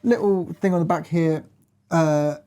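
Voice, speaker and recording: A man's voice: a little high, a little slow, dark tone, smooth. A man presenting a hands-on music gear review in a casual chat, feeling his way through phrases with lots of hedging.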